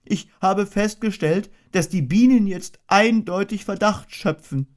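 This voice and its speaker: A man putting on a high voice for a slow, earnest, muddled little teddy bear in a storybook reading. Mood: fussy. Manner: slow, earnest, ponderous, sing-song.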